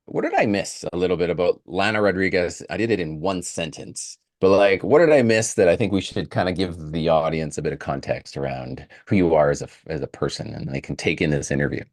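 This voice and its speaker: A man's voice: low voice, a little fast, hardly any pauses. A man from Canada hosting a podcast, upbeat and effusive in casual interview chat. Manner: upbeat, effusive, laughs easily. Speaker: podcast host.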